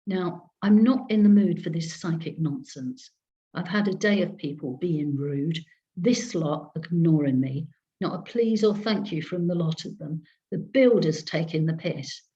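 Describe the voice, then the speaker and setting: A woman's voice: low voice, unhurried, mellow. A woman storyteller voicing a prickly, exasperated male sceptic in a ghost story, over a video call. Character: prickly, exasperated sceptic named Max, a man. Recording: dull lo-fi video call audio.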